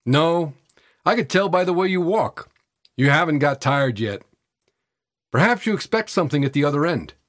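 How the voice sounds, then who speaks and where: A frail teenage vagrant boy, acted out by a man reading a story, pitched higher than the narration. He sounds husky and short of breath, talks in rough colloquial speech, and is cocky, tired and defiant. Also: animated, moderate pace, long pauses, fairly bright tone, no echo, studio quality. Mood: knowing, world-weary. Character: frail eighteen-year-old tramp boy, cocky, weary, defiant.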